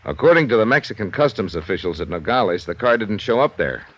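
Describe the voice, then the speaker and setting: An actor playing a dry-witted, skeptical American police lieutenant in a 1950s radio crime drama, plain-spoken and gruff. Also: male voice, fairly low pitch, very animated, fast talker, fairly bright tone. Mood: skeptical, weary. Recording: dull vintage radio recording.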